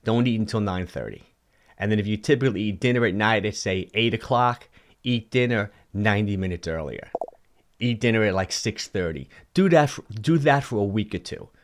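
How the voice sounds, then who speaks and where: Rich: A man in his sixties with a low, deep voice, chatting on a livestream from a home studio into a close studio mic. He is animated and gestures as he talks, often restarting and repeating words while answering viewer questions. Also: moderate pace. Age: 60s. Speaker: fitness coach hosting a livestream. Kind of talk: casual livestream talk, reading viewer comments. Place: indoor home studio with colored lights. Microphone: large studio mic on a boom in front of him.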